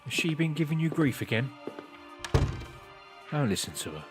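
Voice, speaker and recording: A man plays a stubborn old grandfather in an audio drama. He is blunt and grouchy, and his pitch swings freely as he grumbles. Music plays clearly behind him. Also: big pitch swings, normal speed. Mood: grumbling. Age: older. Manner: colloquial, blunt, dismissive. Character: grumpy, stubborn old grandfather who believes in aliens.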